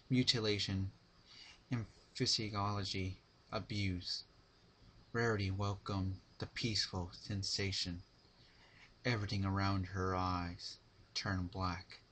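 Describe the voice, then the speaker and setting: A man's voice: lowish voice, fairly even intonation, takes it slowly, long pauses, fairly bright tone, poor audio. A man narrating a dramatic reading of fan fiction, with some faint noise in the recording.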